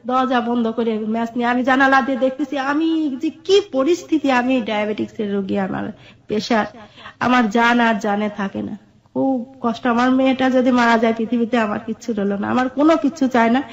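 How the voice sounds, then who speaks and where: A woman's voice: fairly high pitch, fairly even intonation, a little fast. A middle-aged woman with a Bangladeshi accent, earnestly telling her life story in an interview, repeating herself and quoting conversations as she goes. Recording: dull, muffled lo-fi audio.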